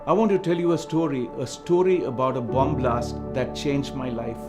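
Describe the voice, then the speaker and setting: Earnest Sri man over guitar music. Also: medium pitch. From Sri Lanka. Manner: earnest, measured storytelling. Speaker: missionary speaker. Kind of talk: scripted testimony narration over music.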